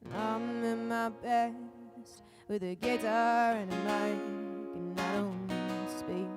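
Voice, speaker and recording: A woman singing her own song on a live stream, with soft confessional lyrics over her own acoustic guitar. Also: a little high, steady tone, slow. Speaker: singer-songwriter.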